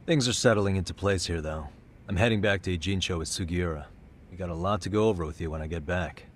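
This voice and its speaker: A man's voice: low-pitched, quick pace, a little hiss or hum, natural voice. An actor playing a cool, level-headed investigator in his thirties, speaking matter-of-factly in an English game dub. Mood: composed. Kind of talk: English dub video game drama acting.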